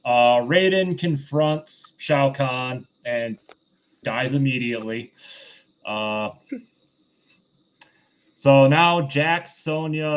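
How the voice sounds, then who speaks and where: A man's voice: medium pitch, smooth. Male podcast host, mid-range voice, loose rambling film chat, a bit lo-fi. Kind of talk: casual review chat.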